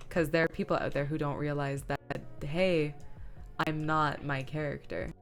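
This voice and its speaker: Low-pitched woman chatting on a livestream. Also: steady tone, moderate pace, faint music behind. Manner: rambling, thinking aloud. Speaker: live streamer; comic dub director. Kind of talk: casual livestream chat while working.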